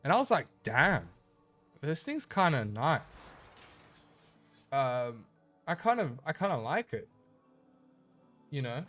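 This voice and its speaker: A man's voice: mid-range voice, very animated, slow, pauses often, a bit bright, soft background music. Laid-back rambling male streamer, slightly stuffy. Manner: laid-back, rambling, reads chat aloud and answers. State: a little stuffy, slightly nasal. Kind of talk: casual live-stream chat while gaming.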